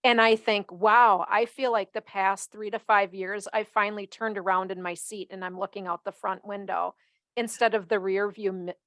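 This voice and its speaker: A woman's voice: mid-range voice, steady tone, moderate pace, a bit bright, no room sound. A woman in her fifties hosting a podcast, reflecting on life and putting questions to her guest. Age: 50s. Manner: reflective, builds up to emphatic questions. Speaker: podcast host. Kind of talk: interviewing a guest.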